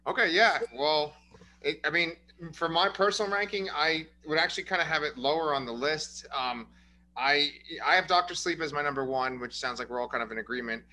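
Bright-voiced hesitant man. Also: on the high side, a little fast, low-quality recording. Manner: hesitant, hedging with fillers. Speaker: podcast co-host reviewing a film. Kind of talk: casual chat.

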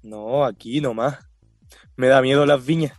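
A man plays a bantering, slightly timid young Chilean in a radio drama. His medium-pitched voice is laid-back and slangy, recorded dry over faint music. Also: unhurried, no room sound, close to an everyday voice. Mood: uneasy. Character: timid but bantering young small-town guitar player. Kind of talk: naturalistic radio drama acting.

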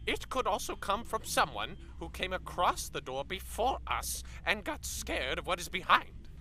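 A man's voice: sits high, sing-song, moderate pace, pushed and bright, full character voice. A man playing a pompous, philosophical professor who lectures in a lofty, musing way. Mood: measured. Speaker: professor interviewed on a broadcast.

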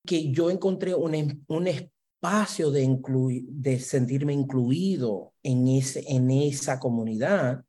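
A man's voice: mid-range voice, slow pace, few pauses, dark tone. A man from Brooklyn with a Puerto Rican-influenced Spanish accent, speaking as an academic guest in an interview. He answers reflectively, slipping English words into his Spanish and ending phrases with "¿verdad?". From Brooklyn, New York. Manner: mixes English into Spanish, frequent "¿verdad?" tags.

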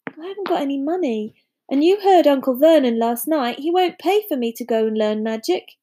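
A woman puts on a young boy from a storybook with a high voice. He sounds unsure and full of wonder, hesitant as he speaks and quick to question. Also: expressive, warm tone. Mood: worried.

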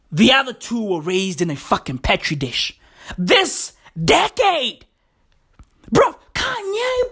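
A woman's voice: fairly high pitch, a bit bright, breathy edge, full character voice. A woman playing a cocky young man nearing thirty in a comic monologue. He swears a lot and keeps saying "bruv" and "legit". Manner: brash, swears often, repeats slang like bruv and legit.

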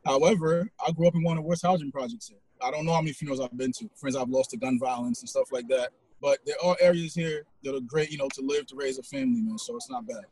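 A man's voice: mid-range voice, poor audio. A man in his forties from Haiti, hosting a podcast and chatting casually with a guest, with many fillers. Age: 40s. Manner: fast, relaxed, conversational with fillers. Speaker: podcast host. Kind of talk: casual interview chat.

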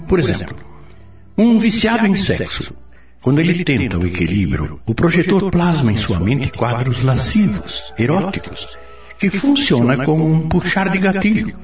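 A man's voice: medium pitch, moderate pace, natural voice. Male actor as authoritative spirit teacher, Brazilian accent, didactic radio drama delivery, roomy distant sound, music behind. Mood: grave, explanatory. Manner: didactic, explanatory, expressive.

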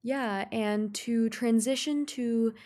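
A woman interviewer asking quick, friendly questions. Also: fairly high pitch, a little mellow.

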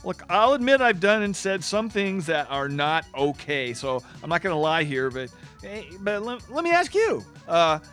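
An actor playing a defensive, irreverent radio host in comic banter, talking in a halting, rambling, exasperated way. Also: male voice, high-pitched, big pitch swings, fairly bright tone, faint music behind.

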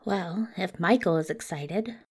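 Slow, reluctant bookish man, played by a woman. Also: fairly low pitch, darkish tone, husky. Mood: reluctant, doubtful. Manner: hesitant, understated. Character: reluctant, mild, bookish man, put-upon brother. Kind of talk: audio fiction character acting.

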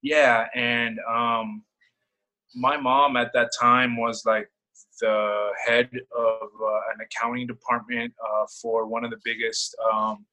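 A man's voice: medium pitch, flat delivery, takes it slowly, pushed and bright, rough recording. A young man from El Salvador talking casually and personally in conversation, searching for words and repeating himself as he goes. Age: young adult.

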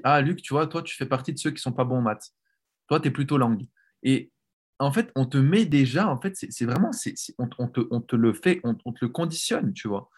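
A man talking as a guest on a podcast, animated and informal, often restarting his phrases. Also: mid-range voice, husky. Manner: animated, frequent restarts and "tu vois". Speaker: language coach, podcast guest. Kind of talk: casual podcast conversation.

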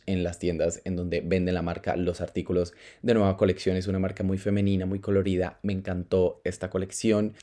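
A man hosting his own podcast, speaking in a very low, mellow voice. He tells his story in an animated, chatty way and slips in the odd English phrase. His first language is Spanish. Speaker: podcast host. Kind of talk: casual solo podcast monologue.

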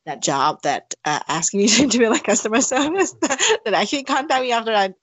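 A woman's voice: on the high side, very animated, moderate pace, slightly rough, no room sound. A woman from Thailand, speaking English with a Thai accent, answering interview questions in a hesitant way with many fillers and restarts. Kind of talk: casual interview conversation.

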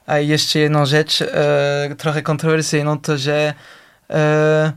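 Guest, male, Polish speaker, hesitant, fills pauses a lot, smooth clear voice, a little high. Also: completely flat delivery. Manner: hesitant, searching for Polish words, many fillers. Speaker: actor, guest. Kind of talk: casual interview chat.

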